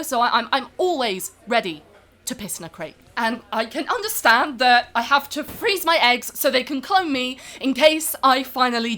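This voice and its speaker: A woman playing a high-voiced, over-polished film star. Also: fairly bright tone. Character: pampered, overworked film star who cracks under press tour. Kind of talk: improvised-sounding comedy podcast interview acting.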